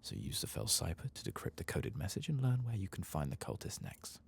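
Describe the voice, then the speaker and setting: A man giving soft-spoken ASMR commentary on a video game, unhurried and often slipping into a breathy whisper. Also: fairly low pitch, huge pitch swings.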